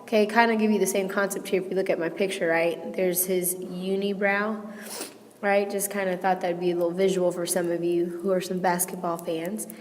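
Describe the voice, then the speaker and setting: A woman teacher with a hoarse, failing voice, patiently walking students through a math lesson step by step and checking in with "okay?". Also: lowish voice, flat delivery, a little fast, a little mellow, long reverb tail. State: sick, losing her voice. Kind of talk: recorded classroom lesson.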